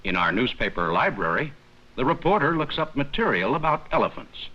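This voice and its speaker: A man reading scripted 1950s educational film narration in a measured, explanatory manner with simple, plain sentences. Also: medium pitch, sing-song, bright, forceful tone, dull audio. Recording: worn, noisy 1950s 16mm film soundtrack.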